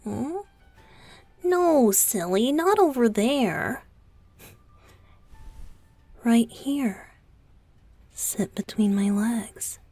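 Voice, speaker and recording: Woman as a soft, unhurried, teasing young idol boyfriend. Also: a little high, animated, no room sound, faint music behind, exaggerated voice. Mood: playful, teasing. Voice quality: soft, breathy, often dropping to a whisper. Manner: teasing, coaxing, giggly, slow with pauses. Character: young male pop idol boyfriend, playful, teasing, affectionate. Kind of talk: intimate ASMR roleplay. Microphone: close studio microphone, dry.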